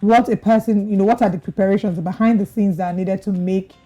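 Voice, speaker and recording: A woman hosts a broadcast interview. Her tone is mellow and her pitch sits in the middle range for a woman. Faint music plays under her questions. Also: fairly even intonation, fast, lo-fi sound. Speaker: TV show host.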